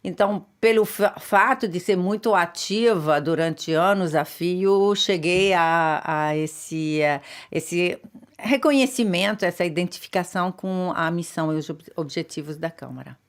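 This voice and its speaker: A woman from Brazil answering interview questions in a studio, thoughtful and hesitant, with frequent pauses and restarts. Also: lowish voice. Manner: thoughtful, hesitant, many filler sounds and restarts. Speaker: interview guest, chamber of commerce president. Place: radio studio.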